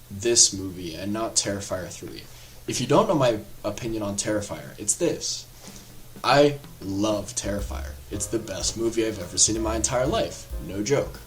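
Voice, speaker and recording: Animated, rambling young-sounding man reviewing films. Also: fairly low pitch, unhurried, slight background noise. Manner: animated, rambling, lots of filler words and laughs. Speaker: horror film reviewer. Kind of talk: casual spoken film review.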